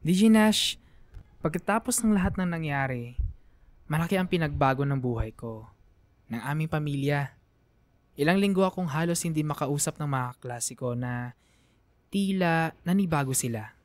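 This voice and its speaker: Filipino student letter-narrator for radio drama: sorrowful, reflective, dry studio sound. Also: female voice, low voice, animated, moderate pace, pauses often, no room sound. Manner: sorrowful, reflective, trailing off softly. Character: saddened student narrating a letter about an ailing father.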